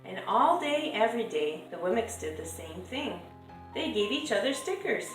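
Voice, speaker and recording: A woman reading a children's picture book aloud in a measured, lilting storytelling way, drawing out stressed words. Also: mid-range voice. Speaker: storybook reader. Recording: echoey room with music behind. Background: music.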